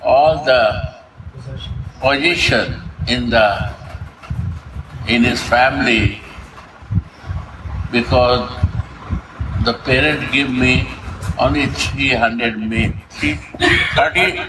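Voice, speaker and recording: A man speaks slowly in broken, halting English, often restarting his sentences as he introduces visitors. His voice sits at a middle pitch. Steady noise runs behind him. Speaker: ashram host introducing visitors. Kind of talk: casual conversation. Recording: noisy background.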